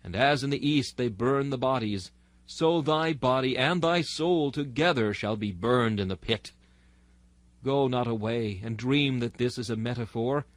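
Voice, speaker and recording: A man's voice: medium pitch, unhurried, no room sound. Solemn man delivering a dry, close sermon. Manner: solemn, exhorting, oratorical. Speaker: narrator reading a historical sermon. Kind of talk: dramatic sermon reading.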